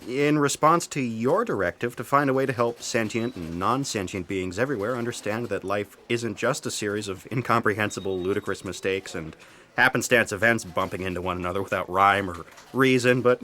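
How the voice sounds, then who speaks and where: An actor playing a meek copy aide in a comic sci-fi audio play, speaking in a hesitant, obsequious way. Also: male voice, medium pitch, brisk, sharp tone, close to an everyday voice. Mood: nervously explaining. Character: meek, deferential male copy aide to editor-in-chief.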